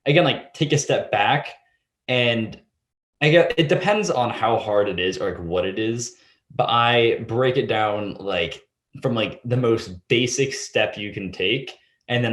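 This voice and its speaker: A man's voice: fairly low pitch, reverberant. A teenage boy answering interview questions casually, rambling and hesitant, filling with "like". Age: teens. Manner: rambling, heavy use of "like", hesitant. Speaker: student guest.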